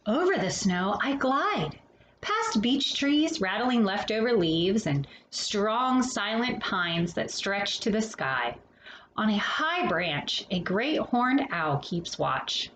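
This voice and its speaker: A woman hosting a storytime, reading a children's picture book expressively with dramatic pauses and casual asides. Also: medium pitch. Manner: animated, dramatic pauses between phrases. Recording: roomy echo with faint background noise.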